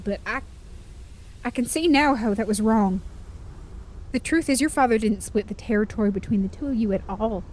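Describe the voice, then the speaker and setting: A woman's voice: on the high side, darkish tone, rough recording. A woman playing a Wild West con artist with an earnest, dramatic delivery in an audio drama. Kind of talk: western audio drama acting.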